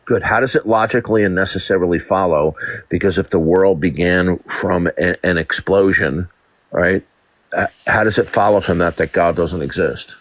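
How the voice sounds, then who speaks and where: A man's voice: low voice, moderate pace, no echo. A man hosting a live call-in debate, domineering and mocking, often stammering on repeated words. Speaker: live-stream debate host.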